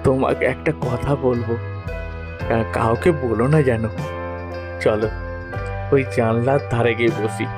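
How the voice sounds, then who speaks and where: A man's voice: medium pitch, steady tone, a little slow, pauses often, close to an everyday voice. A man playing a troubled, weary husband who confides hesitantly and reflectively in a dramatised audio story. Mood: confiding, hesitant. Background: faint music.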